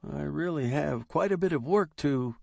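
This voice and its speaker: A man's voice: a little high, normal speed, creaky, no echo, studio quality. A man acting a politely concerned, uneasy male neighbour in a story, with a hesitant manner. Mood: irritated.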